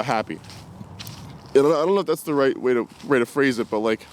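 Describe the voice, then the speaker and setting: Rambling young man vlogging outdoors, background noise. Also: mid-range voice. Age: 20s. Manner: rambling, reflective, hesitant. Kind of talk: casual unscripted talk to camera. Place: outdoors, walking in woods. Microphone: camera carried while walking.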